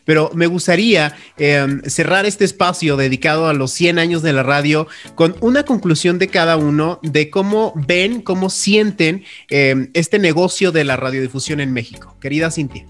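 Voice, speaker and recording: A man with a Mexican accent hosting a radio show, closing the segment in a smooth, formal way. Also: on the high side, normal speed, few pauses, a bit bright. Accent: Mexican Spanish. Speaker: host.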